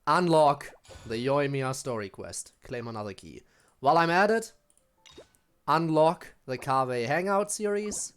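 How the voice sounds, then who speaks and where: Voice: medium pitch, expressive, a little slow, clear, resonant tone. A streamer chatting casually over gameplay in a wry, informal manner. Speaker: game streamer. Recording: voice over game music.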